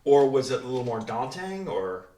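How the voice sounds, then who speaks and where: A man's voice: mid-range voice, big pitch swings, moderate pace, warm tone, far from the mic. A man speaking as writer-director and co-host in a casual panel chat, rambling along in an encouraging way. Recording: echoey room, off mic.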